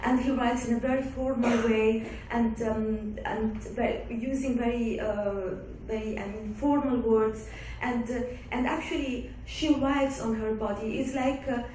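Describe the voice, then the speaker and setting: A woman from Somalia, a guest author, answering audience questions in a halting way with many restarts and fillers. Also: on the high side, fairly even intonation, darkish tone. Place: lecture room. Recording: echoey room, far-off mic, faint background noise.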